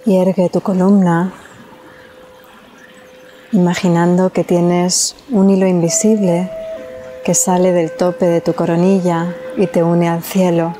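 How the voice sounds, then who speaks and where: A woman from leads a guided meditation in a calm, soothing way, leaving long pauses between phrases. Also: on the low side, music in the background, rough recording.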